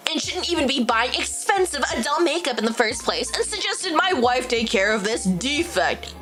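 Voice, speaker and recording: Young female streamer, bright-pitched and expressive, chatting over faint background music. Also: moderate pace. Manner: animated, exclaiming, swearing, laughing often. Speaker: VTuber streamer. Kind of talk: casual live-stream reading and chat.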